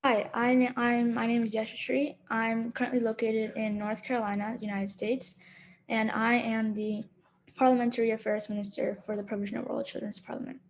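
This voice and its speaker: A woman's voice: a little high, little pitch movement, moderate pace, rough recording. A woman introducing herself on a group video call with some background noise. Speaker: youth parliament minister.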